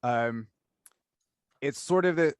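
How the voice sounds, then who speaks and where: A man hosting a casual interview, speaking in a halting, self-correcting way. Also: medium pitch, clean tone, no room sound, clean studio sound. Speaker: podcast host and interviewer. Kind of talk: casual interview intro and chat.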